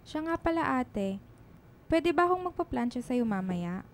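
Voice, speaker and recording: An actress playing a kind, considerate Filipino household employer in a radio drama, friendly and caring in manner. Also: female voice, high voice, big pitch swings, measured pace, slight background noise, full character voice.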